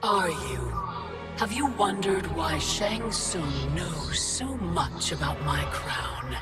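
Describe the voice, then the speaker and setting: A woman voicing a cold ancient female keeper of time, speaking in a slow, measured, imperious way in a video game cutscene. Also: low-pitched, lively intonation, gravelly, over loud music, full character voice. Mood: taunting. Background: loud orchestral score.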